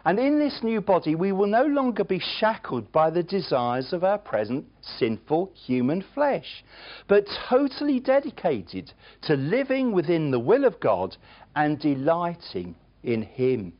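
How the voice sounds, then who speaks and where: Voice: mid-range voice, wildly swooping pitch, a little slow, muffled recording. A preacher delivering a sermon, emphatic and earnest, with chatty, self-deprecating asides to the congregation.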